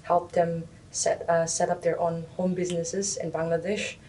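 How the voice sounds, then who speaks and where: A woman's voice: level tone, normal speed, dark tone. A young woman from Nagaland, India, answering interview questions hesitantly, with many fillers and restarts. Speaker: interviewee, recent graduate. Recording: distant mic, faint rumble.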